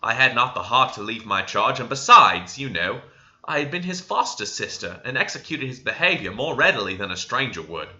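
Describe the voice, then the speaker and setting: Very bright-voiced man reading expressively. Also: medium pitch, sing-song, fairly quick, highs cut off. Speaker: audiobook reader. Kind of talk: dramatic reading of a classic novel.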